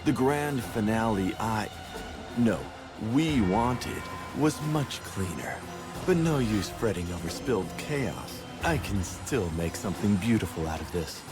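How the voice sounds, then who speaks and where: Low, languid, flirtatious villain. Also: male voice, lively intonation, unhurried. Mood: smug, musing. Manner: teasing, suggestive, languid. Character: sly, flirtatious male villain relishing chaos. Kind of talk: theatrical video game dub acting. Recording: loud game music behind.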